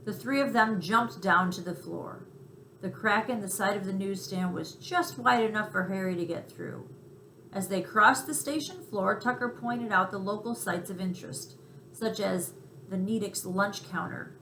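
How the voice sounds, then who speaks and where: A woman reading a children's book aloud in an expressive storytelling style. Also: medium pitch, normal speed. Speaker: storybook reader. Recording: roomy echo, faint background noise.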